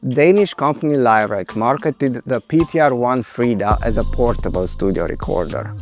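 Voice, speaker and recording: Male presenter, 30s-40s, mid-pitched, scripted explainer read, measured and precise, music behind. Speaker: video presenter.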